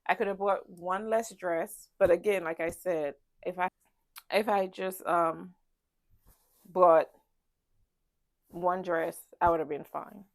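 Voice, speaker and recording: Congested woman, low gravelly voice, rambling casual vlog chat, dry close sound. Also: steady tone, slow, pauses often, dry recording. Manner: chatty, rambling, many ums and restarts. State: congested, stuffy nose. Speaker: travel vlogger. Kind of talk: casual packing chat to camera. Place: ship cabin.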